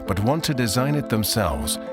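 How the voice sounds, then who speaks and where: Man, promo video narrator, scripted voice-over, measured emphatic delivery, loud background music. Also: medium pitch, sing-song.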